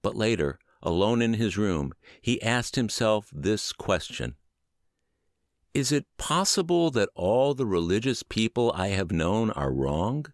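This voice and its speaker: A middle-aged man with a lowish voice narrates an audiobook in an earnest, measured way. His intonation moves expressively up and down. The sound is dry and close to the microphone. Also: very animated, dry recording. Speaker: podcast narrator reading a book aloud.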